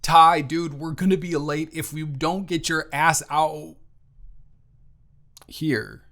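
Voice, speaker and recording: A man playing a caring, awkward twenty-one-year-old best friend in an intimate audio roleplay, with halting, rambling, casual speech. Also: on the high side, fairly even intonation, pushed and bright, full character voice. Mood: impatient. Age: young adult.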